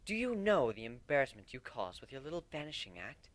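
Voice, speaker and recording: A man's voice: medium pitch, very animated, brisk, no echo, natural voice. A man voicing a haughty, formal pony princess reading out a stern letter in a dramatic story reading. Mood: stern, smug. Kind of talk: dramatic reading of fan fiction.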